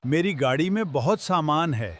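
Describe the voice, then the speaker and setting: A man voicing a friendly, helpful vegetable in a children's cartoon, with an expressive, moralizing manner. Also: fairly high pitch, faint music behind. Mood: warm, earnest.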